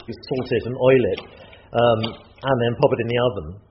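A man's voice: medium pitch, normal speed, muffled recording, a little hiss or hum. An English man, an author, talking enthusiastically and chattily while cooking in a home kitchen. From England. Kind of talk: casual interview talk while cooking.

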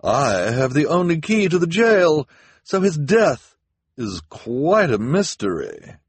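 A man's voice: a little high, sing-song, takes it slowly, creaky, breathy edge, dry recording, pitched above the performer's own voice, heavily acted. A man voicing a bossy, pompous policewoman in a storybook, with a grand, declamatory manner. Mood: grand, official.

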